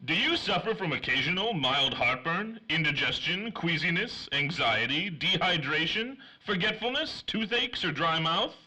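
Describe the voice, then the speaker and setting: A man playing a peppy announcer and pitchman on a 1930s radio serial. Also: lively intonation, moderate pace, few pauses, bright, forceful tone, husky, full character voice, poor audio. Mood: peppy, selling. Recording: music under the voice. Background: music.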